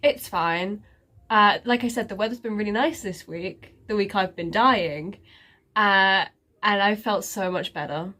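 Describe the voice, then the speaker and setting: Woman vlogger, slow and congested, sarcastic and rambling in a casual update. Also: mid-range voice, pushed and bright. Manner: sarcastic, self-mocking, rambling with many fillers. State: congested, stuffy nose. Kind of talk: casual life-update chat.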